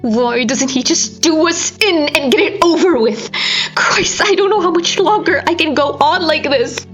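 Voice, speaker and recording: A woman's voice: sits high, measured pace, a bit bright, highs cut off, faint music behind, voice pushed up. A woman voicing a worn-out, despairing man who pleads for death in a dramatic story reading. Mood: anguished despair. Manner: anguished, pleading.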